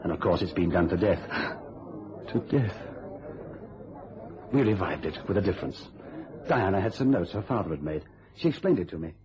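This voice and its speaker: Through a hissy, muffled radio recording comes an earnest magician's assistant in a thriller. His voice is mid-pitched and very expressive, worried and a little hesitant. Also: moderate pace, pauses often. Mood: wistful. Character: earnest, worried stagehand who builds magic tricks, lovesick.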